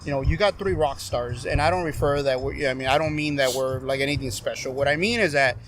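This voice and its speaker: A man's voice: medium pitch, quick pace, a bit bright, a little hiss or hum. A middle-aged veteran man in casual podcast talk on a patio, joking and teasing his friends. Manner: teasing, joking, laughs often. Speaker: guest, veteran advocate. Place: covered patio with string lights at night.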